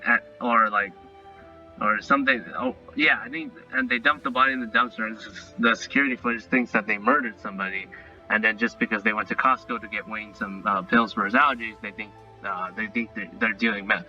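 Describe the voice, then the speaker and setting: A man giving a casual, unscripted review and recap, rambling and halting as he repeats himself. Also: on the low side, sharp tone. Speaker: online cartoon reviewer. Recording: dull lo-fi audio, music bed. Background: faint music.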